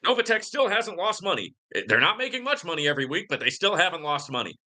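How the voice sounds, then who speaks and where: A man's voice: high voice, big pitch swings, fast, pushed and bright. A man hosting a live question-and-answer stream, talking in an emphatic, preachy way and often tacking "right?" onto his points. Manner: peppers talk with "right?" and "man". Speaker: livestream host. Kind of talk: live Q and A commentary, ranting.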